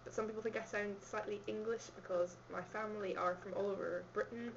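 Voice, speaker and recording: A woman's voice: medium pitch, fairly even intonation, fairly quick, gravelly, dull audio, a little hiss or hum. A woman with a slight Scottish accent, chatting and giggling as she works through a questionnaire in a rambling way. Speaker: vlogger. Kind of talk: casual chat answering a questionnaire.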